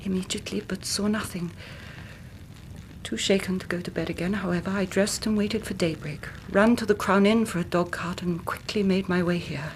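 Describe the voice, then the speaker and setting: A woman's voice: mid-range voice, steady tone, normal speed, slight background noise, close to an everyday voice, low-quality recording. A woman acting an anxious, polite young woman in a radio drama, speaking in an earnest, careful, well-spoken way. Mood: shaken.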